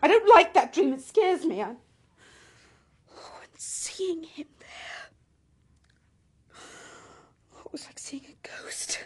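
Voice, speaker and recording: An actress playing an anxious, fretful British housewife and mother in a radio drama, speaking in agitated, halting phrases that drop into whispers. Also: very high voice, very animated, darkish tone, exaggerated voice. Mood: frightened.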